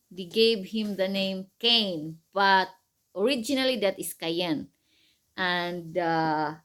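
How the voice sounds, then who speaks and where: Slow-speaking woman teaching a child. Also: mid-range voice, pushed and bright. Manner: patient, questioning, simple repetitive phrasing. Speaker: mother teaching her child. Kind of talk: Bible story lesson with quiz questions for a child.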